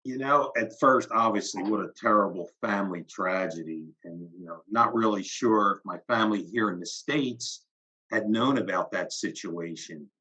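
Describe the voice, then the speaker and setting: A man answering interview questions thoughtfully. He has a low voice with a bright, forceful edge. The audio is dull and lo-fi. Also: moderate pace. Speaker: guest.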